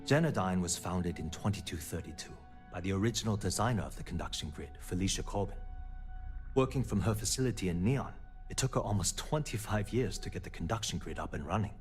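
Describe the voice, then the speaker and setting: An actor playing a frustrated, principled personnel manager in a sci-fi video game, speaking in an earnest, articulate, exasperated way. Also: male voice, low voice, lively intonation, fairly quick, soft background music. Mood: explaining. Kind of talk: scripted game dialogue.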